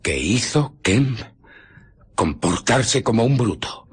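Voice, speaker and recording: An actor playing a ruthless, irritable fugitive scientist in a radio drama, husky and often dropping to a whisper as he recounts and justifies his deeds. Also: mid-range voice, lively intonation, takes it slowly, exaggerated voice. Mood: cold, self-justifying. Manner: dramatic, brooding, self-justifying. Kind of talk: radio drama narration and dialogue.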